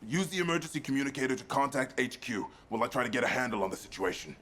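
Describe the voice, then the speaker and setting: A man playing a steady lieutenant of a sci-fi investigative corps in a video game. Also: medium pitch, rapid-fire. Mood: urgent. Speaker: military officer escorting the player.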